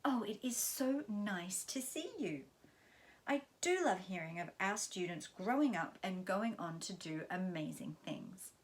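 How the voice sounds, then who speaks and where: A woman reading aloud and voicing a former ballet teacher, gushing and warm. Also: mid-range voice, lively intonation, a little slow, close to an everyday voice.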